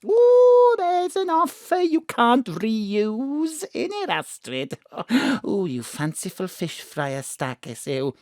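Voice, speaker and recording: Swooping, flirty Welsh mam. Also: female voice, a little high, sing-song, slow, darkish tone, no room sound, studio quality. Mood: coy, flirty. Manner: theatrical, gossipy, muddles her words. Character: doting, flirty, scatterbrained Welsh mam, middle-aged. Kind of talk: comic audio drama acting.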